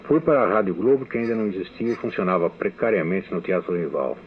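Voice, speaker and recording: Older man, very low, tinny archival radio. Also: expressive, fast. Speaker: radio writer giving a testimony. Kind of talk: reading a memoir testimony.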